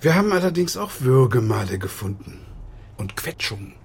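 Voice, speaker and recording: An actor playing a dry, sardonic police inspector who questions people formally in a German radio crime drama. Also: male voice, medium pitch, big pitch swings, brisk, creaky, slightly rough, heavily acted. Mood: stern, dry.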